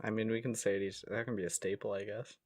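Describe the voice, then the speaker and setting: A man's voice: fairly low pitch, flat delivery, quick pace, a little mellow, breathy edge, dry recording, clean studio sound. A man chatting casually as a podcast co-host, with a joking, giggly manner. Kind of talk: casual chat.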